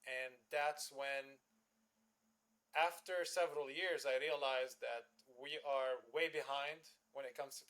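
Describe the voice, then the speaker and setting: A man in his thirties, originally from Lebanon, speaks as an interview guest. His voice sits at an ordinary middle pitch, and he talks in an earnest, reflective way, pausing on little fillers as he builds his story. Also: a bit bright. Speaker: guest, engineer.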